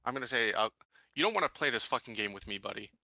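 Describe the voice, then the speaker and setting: A man talking casually as a player in a tabletop roleplaying game, thinking aloud in a deliberate, halting way. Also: mid-range voice, expressive, sharp tone, no room sound. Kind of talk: casual in-character and out-of-character game talk.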